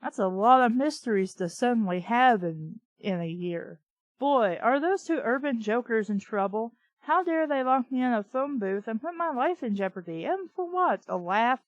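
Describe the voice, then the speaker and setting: A woman's voice: fairly high pitch, animated, normal speed, no room sound, highs cut off. A woman host reading fiction aloud and breaking in with sarcastic, irritated, profane commentary. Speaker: host of a bad-book reading show.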